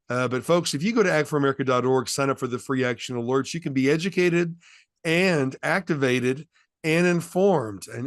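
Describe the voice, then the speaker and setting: A man hosting a political talk show, speaking haltingly with frequent restarts. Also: mid-range voice, no room sound, clean studio sound. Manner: halting, with many restarts and fillers. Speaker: show host.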